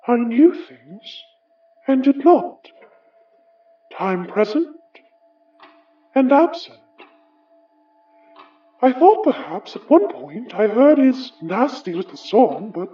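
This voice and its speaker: Ghostly English gentleman, British accent, very unhurried, wistful, formal, audio horror drama, dull distant sound with music behind. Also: mid-range voice. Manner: measured, formal, musing, ornate phrasing. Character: summoned remnant of an English gentleman, wry, theatrical, wistful.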